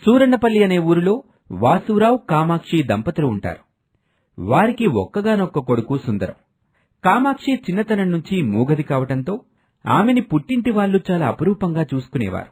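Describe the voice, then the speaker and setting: A man's voice: high voice, huge pitch swings, moderate pace, a little mellow, breathy edge. A man narrating a scripted family story as a storyteller.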